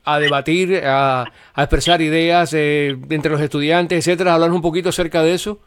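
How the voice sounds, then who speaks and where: A man working as an interviewer, asking informal questions in a halting, encouraging way as he searches for words. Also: a little high, steady tone, a little hiss or hum.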